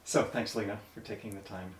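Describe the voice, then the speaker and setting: A man interviewing a guest, asking relaxed, slightly hesitant questions. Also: on the low side, brisk, slight background noise.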